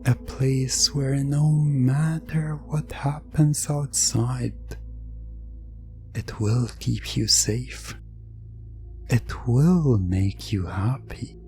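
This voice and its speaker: A man delivering scripted hypnosis roleplay narration in an ASMR style, soothing and suggestive, with long pauses. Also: medium pitch, steady tone, a little mellow, soft background music.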